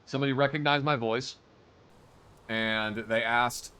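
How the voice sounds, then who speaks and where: A man's voice: mid-range voice, a little slow, smooth. A young man streaming a game live, chatting casually and joking as he plays. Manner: joking, chatty, animated reactions. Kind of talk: casual live-stream chat while gaming.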